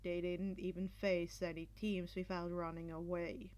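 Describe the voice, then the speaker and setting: Woman doing a lowered, deadpan male commentator. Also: unhurried, lightly acted. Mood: dry, unimpressed. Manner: flat, dry, unimpressed. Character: deadpan, skeptical man commentating on a sports event.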